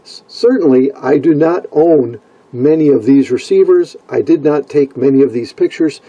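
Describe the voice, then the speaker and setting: An older man narrating informally from a script, with a husky, gravelly voice and wry, folksy asides. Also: fairly high pitch, normal speed, dark tone, low-quality recording. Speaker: hobbyist video narrator. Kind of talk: informal scripted narration about vintage radios.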